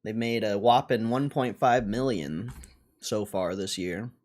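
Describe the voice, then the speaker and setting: A man's voice: lowish voice, measured pace, a little mellow. A man livestreaming casual stock commentary, thinking aloud in a blunt, slangy, offhand way with plenty of swearing. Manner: foul-mouthed, blunt.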